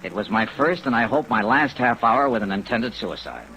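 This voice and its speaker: American hard-boiled but kind investigator, forceful voice, swoops around, wry 50s radio drama, rainy old recording. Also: male voice, medium pitch, normal speed, poor audio. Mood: wry, brisk. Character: hard-boiled yet kindly male insurance investigator. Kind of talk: 1950s radio detective drama, narrated expense report. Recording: old 1950s radio recording, rain noise. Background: steady rain sounds.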